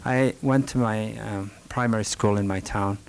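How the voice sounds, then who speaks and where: A middle-aged man from northern Afghanistan with an Afghan accent, speaking halting English with many restarts while answering questions at a library talk. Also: fairly low pitch, steady tone, creaky. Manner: halting, frequent restarts and fillers, soft-spoken. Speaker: rug merchant giving a talk. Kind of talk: answering audience questions at a presentation. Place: library meeting room. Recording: faint background hiss, archival recording.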